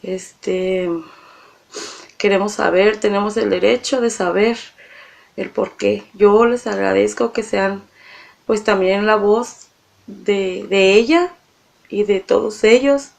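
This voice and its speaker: A woman giving halting spoken testimony, hesitant and plain-spoken as she appeals for justice. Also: mid-range voice, fairly even intonation, creaky, a little mellow. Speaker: victim's relative appealing for justice.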